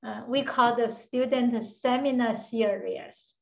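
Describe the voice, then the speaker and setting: A woman moderates an online seminar, inviting each person in with short, slow prompts. Her slightly raised voice comes through a dull, lo-fi call. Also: on the high side, creaky, a little mellow. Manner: brief, welcoming prompts. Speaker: seminar host.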